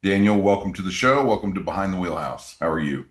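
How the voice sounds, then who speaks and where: Low-voiced male host in an echoey room. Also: lively intonation, fast. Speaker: radio show host. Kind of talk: interview hosting. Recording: roomy echo.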